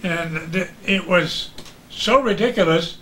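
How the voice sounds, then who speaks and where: A man's voice: high voice, takes it slowly, fairly bright tone, a little hiss or hum. An older man, a veteran radio announcer, reminiscing in casual chat.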